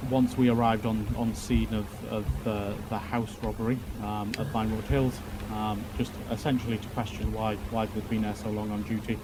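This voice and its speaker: A man playing a police officer giving testimony in a roleplay courtroom, talking haltingly with many fillers and repeated words. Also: fairly low pitch, little pitch movement, background noise.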